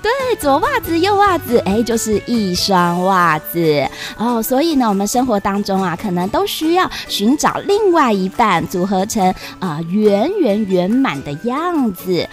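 A woman hosting a children's story program, promoting a family event in a sing-song, children's-show manner, with soft music behind her. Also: medium pitch, sing-song, measured pace, few pauses. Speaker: storyteller host.

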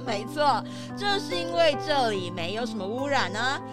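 An eager chameleon tour leader, voiced by a woman, high-pitched and earnest like a keen nature guide. The children's radio-play delivery sits over clear background music. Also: sing-song, a little slow. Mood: earnest, enthusiastic. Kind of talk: children's audio drama. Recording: music behind the voice.

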